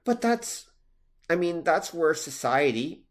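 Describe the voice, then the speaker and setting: A man's voice: fairly high pitch, sing-song, slow pace. A man co-hosting a casual discussion, explaining his points in a conversational way with everyday hypothetical examples. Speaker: podcast co-host.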